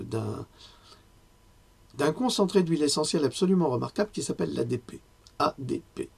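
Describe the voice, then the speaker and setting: A hoarse man giving a lecture in a conversational way, often pausing and correcting himself. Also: fairly high pitch, darkish tone. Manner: conversational, with frequent fillers and self-corrections. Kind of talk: health lecture with personal asides.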